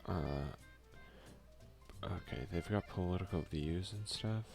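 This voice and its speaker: Low, gravelly ASMR gamer guy. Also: slow pace, a little mellow, soft background music. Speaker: let's play streamer. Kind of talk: ASMR game playthrough, reading game text aloud with casual asides.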